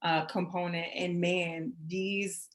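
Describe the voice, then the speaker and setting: A woman's voice: fairly low pitch, little pitch movement, slow pace. A woman interviewing a guest as the host of an event, in a conversational style.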